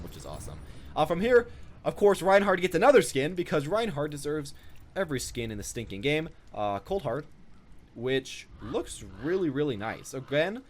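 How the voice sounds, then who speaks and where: A young man giving casual, off-the-cuff commentary as he shows off items in a video game. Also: mid-range voice. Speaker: gaming video creator. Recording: noticeable background noise.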